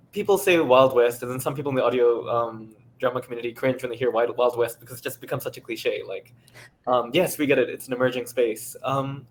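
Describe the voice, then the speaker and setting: A man's voice: medium pitch, a little hiss or hum. A man giving a thoughtful, articulate answer as a panelist, now and then interrupting himself to rephrase. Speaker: panelist, audio drama creator. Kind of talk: unscripted panel discussion.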